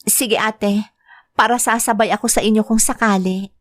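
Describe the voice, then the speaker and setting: A woman's voice: medium pitch, steady tone, normal speed, natural voice. A woman playing a young woman working abroad who is heartbroken, talking in an emotional, tearful way in an audio drama. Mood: gentle. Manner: tearful, pleading, emotional outbursts. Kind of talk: Filipino audio drama acting. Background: soft music.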